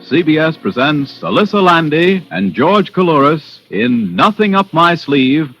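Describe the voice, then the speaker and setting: A man's voice: fairly high pitch, very animated, a little slow. A man making a dramatic scripted title announcement in 1940s radio style. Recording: dull old radio recording, loud music.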